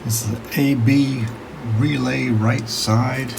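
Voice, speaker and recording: A man with a gravelly voice narrating a casual hands-on video, hesitantly thinking aloud as he works. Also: medium pitch, steady tone, slow, noisy background. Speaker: hobbyist radio restorer narrating own video.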